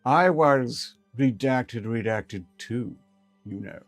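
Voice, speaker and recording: A man voicing a calm female researcher who speaks slowly and evenly. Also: mid-range voice, dry recording, soft background music, close to an everyday voice. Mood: measured. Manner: slow, measured, level.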